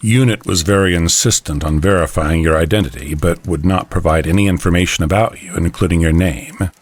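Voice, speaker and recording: Man playing a stern, low-voiced army general. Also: fairly quick, lightly acted. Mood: suspicious. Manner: clipped, authoritative, suspicious. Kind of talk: audio drama acting.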